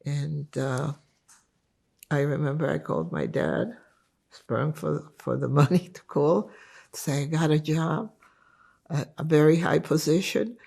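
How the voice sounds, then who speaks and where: An older woman from Lithuania whose first language is Yiddish, recalling her life story in an interview in an unhurried, reflective way. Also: deep voice, expressive, vocal fry, darkish tone, breathy edge. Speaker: interviewee giving testimony.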